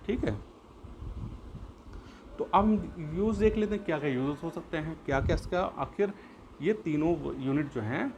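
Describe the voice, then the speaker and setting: A man's voice: on the high side, low-quality recording. A man teaching a lesson in an explanatory way, repeating points and answering his own questions. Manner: repeats and rephrases points, poses questions then answers them. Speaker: school teacher. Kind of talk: explanatory classroom-style lesson. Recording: clear background noise.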